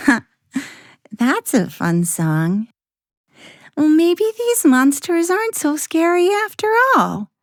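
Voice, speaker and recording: A woman's voice: sits high, very animated, slow pace, pauses often, no room sound, studio quality, voice pushed up, full character voice. A woman voicing a curious, kind little girl in a children's bedtime story, with a wondering, childlike manner. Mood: warming up.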